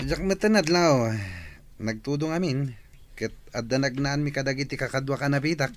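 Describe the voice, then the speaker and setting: A man's voice: mid-range voice, unhurried, close to an everyday voice. A man acting the cocky grown son of a household in an Ilocano radio comedy drama.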